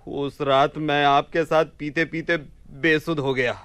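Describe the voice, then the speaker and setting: A man playing a deluded, haughty and excitable young man in a theatrical radio play. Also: a little high, a little slow, clean tone, no room sound. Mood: aggrieved, flustered. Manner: indignant, pompous, excitable.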